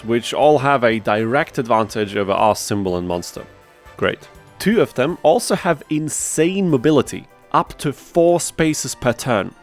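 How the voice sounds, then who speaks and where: A man hosting a video game challenge, reading an informal scripted narration with wry, dryly sarcastic asides. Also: mid-range voice. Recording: faint music behind the voice.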